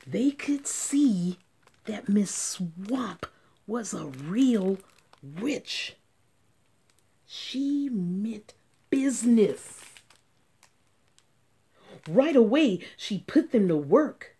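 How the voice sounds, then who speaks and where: A woman reading a storybook aloud for children, dramatic and deliberate, with hushed drops and sudden emphasis. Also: mid-range voice, very animated, husky.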